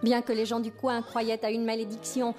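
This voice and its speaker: Woman playing a high-voiced, determined cartoon mother. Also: little pitch movement, moderate pace, lightly acted. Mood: insistent, hopeful. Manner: earnest, insistent. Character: determined, hopeful mother and explorer. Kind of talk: dubbed cartoon acting. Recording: music behind the voice.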